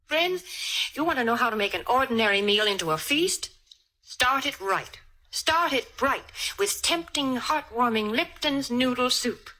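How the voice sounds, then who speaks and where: A woman announces for a sponsor in 1940s radio style. Her voice is high, bright and animated, with a chirpy, persuasive selling manner. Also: low-quality recording. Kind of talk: 1940s radio commercial pitch.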